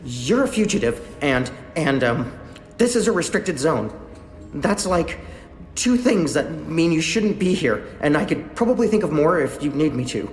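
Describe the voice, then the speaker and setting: A man's voice: on the high side, big pitch swings, normal speed, reverberant, big-room sound, faint music behind. An actor playing a nervous, rambling young male engineer in a video game, who stammers and trips over his words. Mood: flustered. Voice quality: stammering, flustered, talkative. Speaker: lead engineer character.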